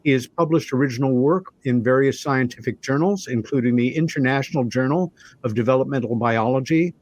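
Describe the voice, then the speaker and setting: A man with a mid-range voice hosts a talk show. He phrases things deliberately and keeps a conversational interviewing style. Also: medium pitch, a little mellow, no room sound.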